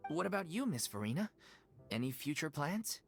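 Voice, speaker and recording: A woman's voice: low voice, big pitch swings, normal speed, soft background music. A woman voicing a blunt, sardonic young theatre troupe member in a video game, scolding but caring. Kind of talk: English video game voice acting.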